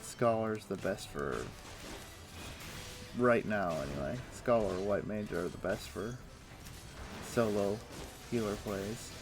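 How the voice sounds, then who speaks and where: A man's voice: fairly low pitch, slow, vocal fry, pauses often, music in the background. A man streaming a game from home on a headset, chatting casually and thinking aloud with plenty of ums and uhs. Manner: relaxed, thinks aloud with many fillers. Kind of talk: casual chat while gaming.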